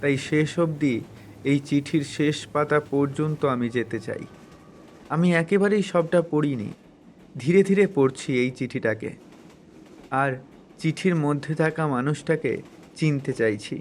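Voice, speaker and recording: A man's voice: on the high side, expressive, measured pace, long pauses, darkish tone, slight background noise. A man voicing a sincere, wistful, devoted young man in a cartoon moral story. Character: earnest, dreamy young man devoted to a letter. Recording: music behind the voice.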